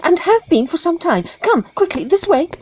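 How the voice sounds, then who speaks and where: A woman playing a brisk Edwardian lady medium in a period audio drama, speaking in clipped phrases. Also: very high-pitched, sing-song, a little mellow, reverberant. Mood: urgent, directing.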